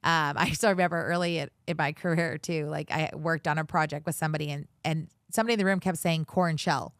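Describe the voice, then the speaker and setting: Low smooth woman, chatty guest, lots of um and like, dry sound. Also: fairly even intonation, quick pace, no echo. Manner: chatty, many fillers and restarts. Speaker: guest, commercial real estate director. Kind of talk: casual interview conversation.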